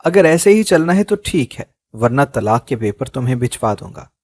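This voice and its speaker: A man's voice: mid-range voice, big pitch swings, normal speed, darkish tone. A man reading the lines of a proud middle-class father who speaks firmly about his self-respect. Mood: indignant. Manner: firm, indignant.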